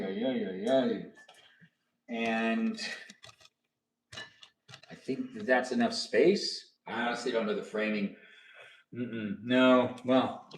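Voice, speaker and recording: A man's voice: medium pitch, fairly bright tone, a little hiss or hum. Rambling man in his thirties, roomy and distant. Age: 30s. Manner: playful and rambling, sing-song asides. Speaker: livestream host. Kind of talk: casual livestream chat. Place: home kitchen area. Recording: roomy, distant camera audio.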